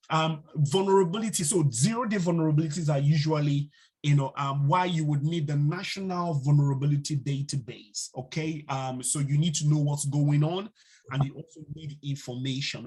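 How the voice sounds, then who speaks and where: A man teaching a live online cybersecurity class, unhurried and full of fillers, now and then putting questions to the class. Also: a little high, darkish tone, slightly rough. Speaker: instructor. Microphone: video call mic.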